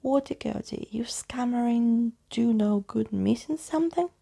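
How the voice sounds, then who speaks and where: A woman storyteller acts out a jaunty male skeleton from a children's storybook. He speaks in grand, theatrical flourishes, with a husky, crackly tone. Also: on the high side, measured pace, dark tone, exaggerated voice. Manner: grandiose, exclamatory.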